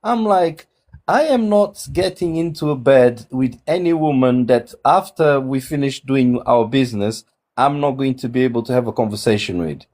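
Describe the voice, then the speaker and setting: A man's voice: expressive, moderate pace, a little mellow. A man in his forties from Angola, raised in Portugal, talking reflectively in a casual group chat with frequent restarts over a video call. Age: 40s. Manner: reflective, many restarts, shifting pitch. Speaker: guest on a dating discussion.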